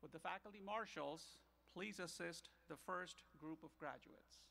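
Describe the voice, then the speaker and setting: A man announcing the next speaker and directing faculty marshals at an outdoor commencement ceremony, in a brisk, formal way. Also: fairly high pitch, gravelly, bright, forceful tone, slight background noise.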